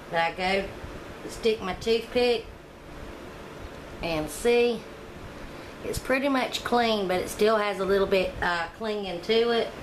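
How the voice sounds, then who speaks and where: A woman, chatting homey and casual as she demonstrates baking in her home kitchen. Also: mid-range voice. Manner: chatty, homey, talks to viewers while working. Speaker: home cooking vlogger. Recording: echoey kitchen with background noise.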